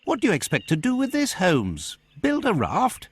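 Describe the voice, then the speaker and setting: An actor playing an exasperated, proper English gentleman sidekick in a video game, with a British accent and politely incredulous complaints. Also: high voice, wildly swooping pitch, normal speed, slight background noise. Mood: puzzled, exasperated. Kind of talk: video game cutscene acting.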